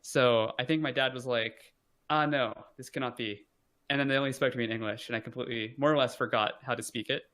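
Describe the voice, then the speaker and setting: A man's voice: medium pitch, quick pace. A young man chatting casually as a podcast guest, rambling with lots of "like" and self-deprecating asides. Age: young adult. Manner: relaxed, rambling, frequent "like" fillers, self-deprecating. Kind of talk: casual conversation.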